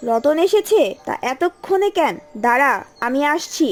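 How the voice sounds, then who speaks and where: Woman acting a high-pitched, scolding cartoon village aunt. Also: lively intonation, a little slow, faint music behind. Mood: suspicious. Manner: sarcastic, nagging. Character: bad-tempered, scolding village aunt and housewife.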